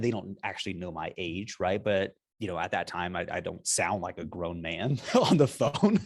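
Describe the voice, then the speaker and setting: Emphatic man, low-pitched voice. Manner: energetic, emphatic, keeps checking in with "right?". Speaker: software company founder, guest. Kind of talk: casual business interview.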